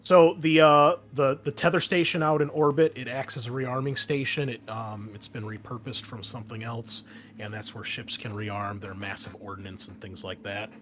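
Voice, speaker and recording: A man's voice: medium pitch, steady tone, normal speed, a bit bright. A man speaking as game master, explaining a tabletop roleplaying scenario in a conversational, improvised way. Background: background music.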